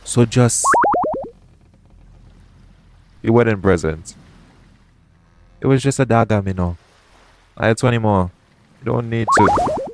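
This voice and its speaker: A man playing a roguish, caring pirate captain in an intimate audio roleplay, speaking in a soothing, gently teasing manner. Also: fairly low pitch, huge pitch swings, measured pace, long pauses, a little hiss or hum, exaggerated voice. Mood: gentle, reassuring.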